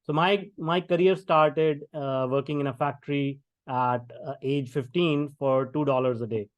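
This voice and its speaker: A man's voice: fairly high pitch, steady tone, a little slow, no echo. A middle-aged man from India with an Indian English accent, a podcast guest speaking conversationally with many fillers and restarts. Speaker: guest, tech founder. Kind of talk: podcast interview.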